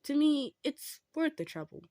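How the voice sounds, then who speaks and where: A woman playing a kind, modest female centaur in an improvised roleplay, speaking gently and earnestly with some stammering. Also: sits high, very animated, normal speed, dry recording, studio quality, pitched above the performer's own voice. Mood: resigned, earnest. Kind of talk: improvised roleplay audio drama, addressed to listener.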